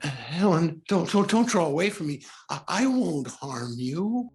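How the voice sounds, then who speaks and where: A man playing a possessed, tormented husband-like figure in 1940s radio horror style, halting and stammering melodramatically. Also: fairly high pitch, sing-song, faint music behind. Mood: shaken, pleading. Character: possessed, tormented man struggling against an evil presence.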